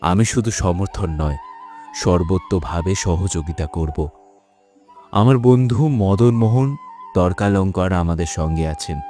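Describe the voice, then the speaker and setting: A man's voice: sits low, unhurried, dark tone, faint music behind. A man voicing an earnest, resolute Bengali scholar and reformer in a cartoon, speaking formally and with measure. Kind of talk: scripted cartoon dialogue.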